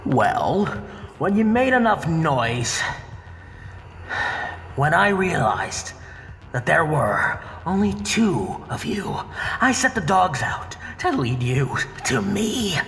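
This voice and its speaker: An actor playing a smug, cunning villain with a measured, taunting manner in a science-fiction audio drama. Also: male voice, a little high, sing-song, vocal fry, a bit bright, slight background noise, full character voice. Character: smug, cunning male villain, cornered but unyielding. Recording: long reverb with faint music.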